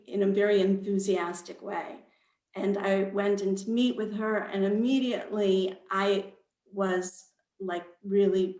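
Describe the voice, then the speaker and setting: A roomy, echoing recording of a woman at a literary event. She is an author with a mid-pitched voice, presenting her own writing and experience to the event. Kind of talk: reading own fiction aloud, then panel talk. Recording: roomy echo.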